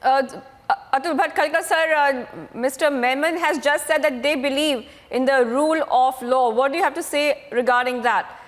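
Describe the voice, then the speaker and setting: An Indian woman anchors a live debate in a notably high voice. She moderates with hesitant, deferential phrasing full of fillers. A long reverb trails her words. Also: normal speed. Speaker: news anchor.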